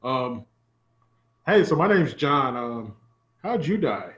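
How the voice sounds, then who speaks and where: A man playing a hapless, sheepish everyman in improvised comedy, awkward and halting, recorded with a roomy, dull sound. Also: medium pitch, sing-song, fairly bright tone, pitched below the performer's own voice. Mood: sheepish, chatty. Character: hapless, sheepish dead everyman named John.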